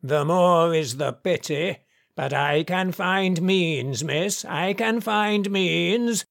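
Male reader as wrathful father, slow, creaky, menacing, emphatic, domineering, classic storybook. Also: high-pitched, a bit bright, no room sound, clean studio sound, full character voice. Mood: stern, threatening. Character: stern, wrathful middle-aged father, domineering and doom-laden. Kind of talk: dramatised classic novel reading.

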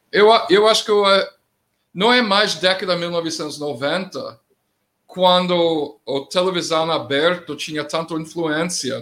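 A man's voice: fairly high pitch, a bit bright. Middle-aged man with non-native Portuguese. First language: not Portuguese. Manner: plain-spoken, with halting grammar and restarts. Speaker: guest commentator. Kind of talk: live political discussion.

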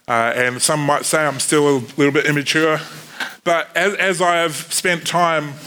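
Bright-voiced Kiwi preacher. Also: male voice, on the high side. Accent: New Zealand. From New Zealand. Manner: earnest, self-deprecating asides. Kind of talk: sermon.

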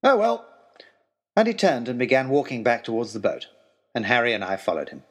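Animated English male storyteller, slightly husky. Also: medium pitch, fast. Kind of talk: dramatic first-person adventure narration in period English.

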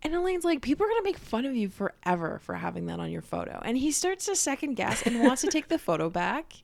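A woman's voice: a little high, very animated, fast, gravelly, a little mellow. A young woman co-hosting a podcast, chatting casually about a sitcom episode, swearing and saying "like" a lot. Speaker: podcast co-host.

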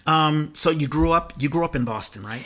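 A man's voice: fairly high pitch, a little fast, pushed and bright. A man hosting a podcast, steering a casual interview with short questions. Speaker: podcast host.

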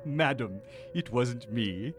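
A man's voice: mid-range voice, animated, slow, music in the background. A man playing a menacing, melodramatic figure in a spoof horror trailer. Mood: ominous. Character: sinister, hammy male in horror trailer spoof.

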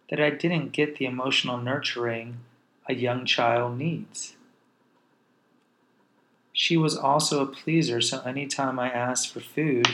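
A man's voice: mid-range voice, fairly bright tone, a little hiss or hum, poor audio. Wry man telling his life story. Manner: candid, wry, self-deprecating. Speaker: podcast host. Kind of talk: personal storytelling monologue.

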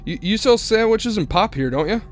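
A man's voice: sits high, animated, fairly quick. Haunted young motorist played by a man for radio drama: earnest, anxious narrator over faint music. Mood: nervous pleading. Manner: earnest, dramatic, stammering when agitated. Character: haunted, anxious young male cross-country driver narrating his ordeal.

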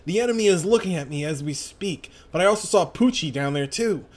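A man's voice: expressive, fairly quick. A man voicing a tough young woman fighter who talks urgently. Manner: urgent, pitched-up voice.

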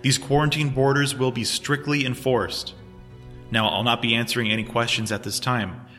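A man's voice: mid-range voice, steady tone, normal speed, bright, forceful tone, faint music behind, natural voice. An actor playing a stern, evasive governor in an audio drama, firm in his delivery. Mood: guarded, official. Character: embattled male governor, stern, evasive, foul-mouthed in private.

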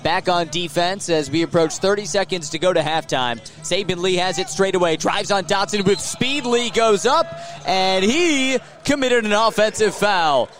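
An American man doing live radio play-by-play of a basketball game, punchy and rising excitedly with the action. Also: high voice, moderate pace, faint music behind. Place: live inside a sports arena.

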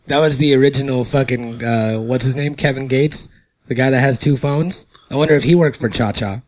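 A man's voice: mid-range voice, moderate pace, a little mellow. Joking man in his thirties. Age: 30s. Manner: joking, riffs with mock quotes. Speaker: podcast co-host. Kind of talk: casual chat.

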